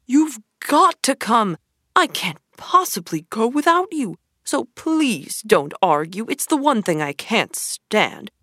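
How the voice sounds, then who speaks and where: A woman voicing a boastful, excitable male toad in a storybook reading, gushing and showy. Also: on the high side, takes it slowly, dry recording, clean studio sound, pitched above the performer's own voice, full character voice. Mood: excited boasting.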